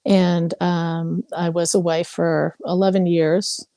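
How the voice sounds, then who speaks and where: A woman's voice: on the low side, level tone, takes it slowly, warm tone, clean tone, no echo, clean studio sound. A woman in her fifties from Hawaii, candidly sharing her story in a casual group call, with many fillers. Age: 50s. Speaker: participant.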